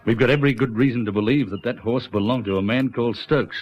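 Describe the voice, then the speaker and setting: An actor playing a firm, blunt country police sergeant in a radio drama, speaking in a deliberate, probing manner. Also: male voice, mid-range voice, lightly acted. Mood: stern. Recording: dull lo-fi radio recording.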